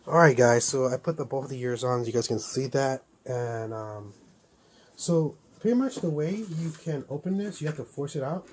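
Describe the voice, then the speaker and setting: A man's voice: mid-range voice, animated, normal speed, a little mellow. A man doing a casual unboxing review, talking informally and thinking aloud as he goes. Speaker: toy collector reviewing a helmet. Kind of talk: casual unboxing vlog chat.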